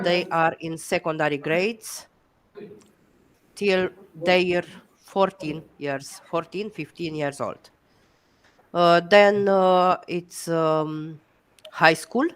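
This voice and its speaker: A middle-aged Romanian woman on a headset mic, speaking English slowly and with care. Her voice is smooth and clear, a little low, and she pauses often with fillers while giving encouraging answers. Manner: hesitant, many fillers, encouraging. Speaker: guest educator. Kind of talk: video call Q&A.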